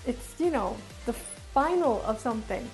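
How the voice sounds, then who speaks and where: A woman's voice: a little high, lively intonation, a little slow, darkish tone, faint music behind. A woman reviewing casually and off the cuff as a video host, enthusiastic and emphatic, often repeating phrases to drive them home. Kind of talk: casual unscripted review to camera.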